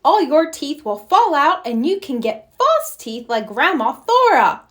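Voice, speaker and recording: A woman's voice: high-pitched, sing-song, a little slow, a bit bright, pitched above the performer's own voice, heavily acted. A woman voicing a cheeky little sister in a storybook, teasing in a sing-song way.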